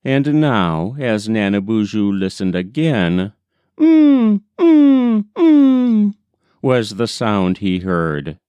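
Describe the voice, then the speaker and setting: A man reading a folk tale as scripted audiobook narration, deliberate and expressive. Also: lowish voice, smooth, no room sound, muffled recording. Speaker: audiobook reader.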